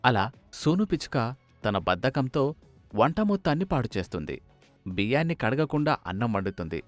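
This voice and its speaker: Lively male storybook narrator, dry sound. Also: medium pitch, no room sound. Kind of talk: storybook narration for a children's bird fable.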